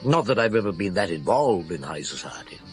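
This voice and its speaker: An actor playing a seasoned, wry Paris chief inspector in a radio drama, musing and understated. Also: male voice, low-pitched, sing-song, brisk, soft background music. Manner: musing, understated, with hums and short replies. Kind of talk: naturalistic radio drama acting.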